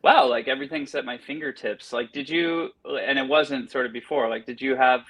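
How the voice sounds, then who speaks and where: A man's voice: mid-range voice, steady tone, brisk, fairly bright tone, rough recording. A man in his early forties hosting a casual podcast chat, rambling with many restarts and fillers. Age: 40s. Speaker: podcast host.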